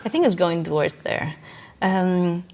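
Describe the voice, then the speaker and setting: A woman talks as a guest in a casual interview. Her voice sits a little low for a woman. She explains her points with emphasis and states her opinions plainly. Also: measured pace, a little mellow. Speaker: podcast guest.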